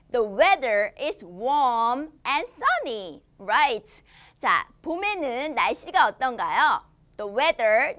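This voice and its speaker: A woman in her thirties teaches young children English in a studio. Her voice sits high and swoops up and down. She stretches out each English phrase and speaks with an unhurried, kid-friendly cheer. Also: pushed and bright. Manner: slow, exaggerated English read-aloud, with cheerful Korean explanations to kids. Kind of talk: children's English lesson, reading a storybook. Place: studio in front of a green screen.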